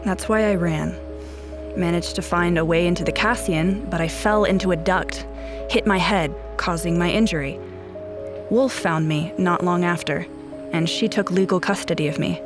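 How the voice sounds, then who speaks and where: A woman's voice: fairly low pitch, steady tone, moderate pace, lightly acted. A guarded, haunted policewoman played by an actress speaks in terse, brooding lines, as in an audio drama. Prominent music runs behind the voice. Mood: haunted, subdued. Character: guarded, haunted female police officer with criminal father. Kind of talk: scripted audio drama acting with first-person narration. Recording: voice over prominent music bed.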